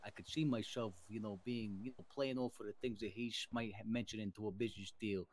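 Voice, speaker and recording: Mafia boss for improvised game roleplay, played by a man: lower male voice, emphatic wiseguy rambling, businesslike and profane. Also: steady tone, fast, slight background noise, lightly acted. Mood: insistent, businesslike. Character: smooth-talking male mafia boss planning family business.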